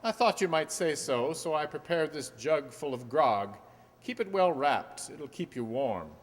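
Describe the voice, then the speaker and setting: A man playing a shrewd, courteous gentleman detective with a wry manner in an amateur radio play. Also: on the high side, lively intonation, measured pace. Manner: polite, wry, knowing. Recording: reverberant hall-like radio play recording.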